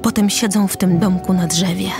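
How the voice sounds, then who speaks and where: A woman's voice: on the low side, steady tone, normal speed. A woman reading scripted narration for an audio drama, describing the action as narrator. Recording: music under the voice. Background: music.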